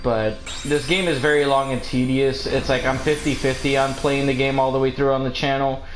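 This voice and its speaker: A man's voice: medium pitch, little pitch movement, fairly quick. Guy streamer just chatting while he games, roomy mic, some game music behind. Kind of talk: casual live-stream chat while gaming. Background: video game music.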